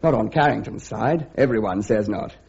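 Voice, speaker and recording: British radio drama: eager, muddle-headed young officer, posh slang, chatty, mellow but very husky voice, lo-fi sound. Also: male voice, fairly low pitch, normal speed, exaggerated voice. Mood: gossipy, breezy. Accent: upper-class British. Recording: dull, lo-fi radio drama recording.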